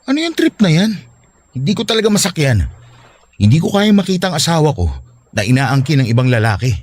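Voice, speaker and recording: A man's voice: a little high, big pitch swings, a little fast. An actor with a Filipino accent playing a devoted but reluctant husband, earnest as he talks with his wife in a radio drama. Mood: reluctant, uneasy. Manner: protesting, earnest.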